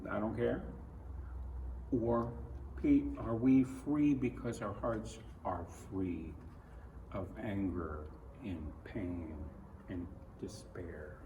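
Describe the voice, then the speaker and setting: Older man, slow pace, lowish pitch, folksy grandfatherly storybook reading, chatty asides to children, roomy echo. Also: fairly even intonation, dark tone. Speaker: storybook reader. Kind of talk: read-aloud of a children's picture book with chatty asides. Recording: roomy echo, distant mic, noisy background.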